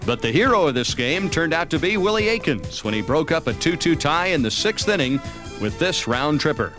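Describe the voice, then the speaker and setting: A man's voice: a little high, very animated, a little fast. An American man reading scripted narration for a baseball season recap. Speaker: documentary narrator. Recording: music under the voice. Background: background music.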